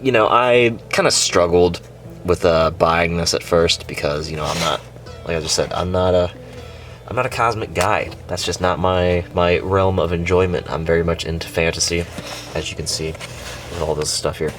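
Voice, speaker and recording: A young man with a nasal, congested voice, chatting casually and excitedly as he unboxes and reviews a toy. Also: sits low, moderate pace, faint music behind. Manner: chatty, excited, rambling with fillers. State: sick and congested. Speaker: toy reviewer, channel host.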